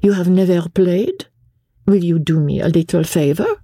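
A woman's voice: fairly low pitch, normal speed, dark tone, no echo, clean studio sound, pitched above the performer's own voice. A woman voicing a wistful, sly little lady gambler from a storybook, coaxing and theatrical, with a foreign accent.

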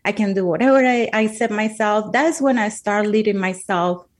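A woman's voice: mid-range voice, moderate pace. A woman from Colombia with a Colombian Spanish accent, speaking English as a second language, earnestly recounting her life as an interview guest. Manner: earnest, emphatic, repeats words while thinking. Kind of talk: heartfelt personal storytelling.

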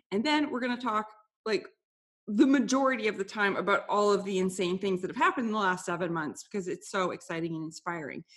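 A woman's voice: mid-range voice, a bit bright. Chatty woman podcast host. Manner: friendly, talkative, casual fillers. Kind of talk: casual podcast interview.

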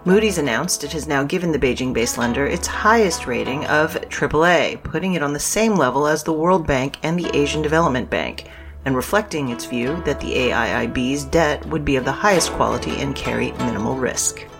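A woman's voice: deep voice, lively intonation, a little fast, over music. A woman reading scripted business news in a formal, precise manner. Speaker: news reader.

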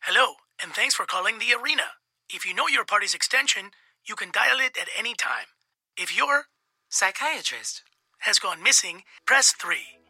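Bright, husky phone greeting. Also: female voice, medium pitch, big pitch swings, normal speed, no echo, exaggerated voice. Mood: brisk, scripted. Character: automated phone menu greeting woman.

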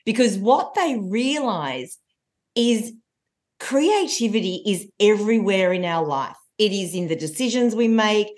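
A woman, a coach telling stories in a podcast interview with a loud, lively voice. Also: medium pitch, a little slow. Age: 40s. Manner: lively storyteller. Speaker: coach and trainer.

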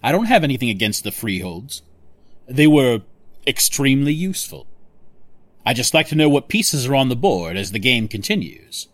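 An actor playing a smug, scheming tech boss in an audio drama, talking with condescending, self-satisfied control. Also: male voice, medium pitch, very animated, moderate pace, a bit bright, no echo. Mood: smug, calculating.

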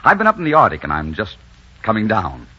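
An actor playing a rugged, bold adventurer smitten with love, in dramatic, romantic 1940s radio style. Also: male voice, lowish voice, wildly swooping pitch, quick pace, bright, forceful tone, husky, heavily acted. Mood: eager, smitten. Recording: dull 1940s radio recording with music.

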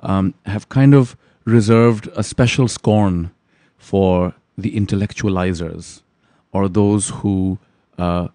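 Deep, mellow man. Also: fairly even intonation, no echo. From Pakistan. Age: 30s. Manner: articulate and measured, pausing with many ums. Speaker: guest. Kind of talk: reflective interview answers.

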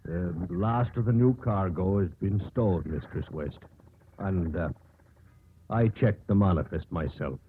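An actor playing a deferential but anxious ship's first officer in 1950s radio drama style, earnest and emphatic. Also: male voice, low-pitched, measured pace. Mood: dutiful. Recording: tinny, hissy 1950s radio broadcast.

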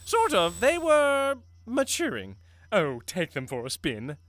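A woman's voice: mid-range voice, huge pitch swings, slow. A woman playing a flamboyant, devoted mad scientist husband in an audio drama, with grandiose, melodramatic delivery. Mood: excited, doting.

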